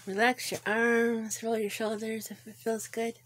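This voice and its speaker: Slow-paced woman guiding a meditation. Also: a little high, little pitch movement. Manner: gentle, unhurried, pausing between short phrases. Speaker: guided meditation leader. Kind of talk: guided meditation, visualization narration.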